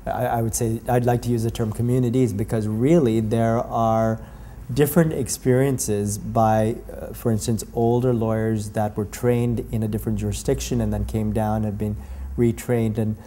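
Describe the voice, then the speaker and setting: A man's voice: fairly low pitch, level tone, moderate pace, mellow. A man in his forties, a lawyer from Canada, giving a thoughtful interview answer and often repeating words as he searches for the right phrasing.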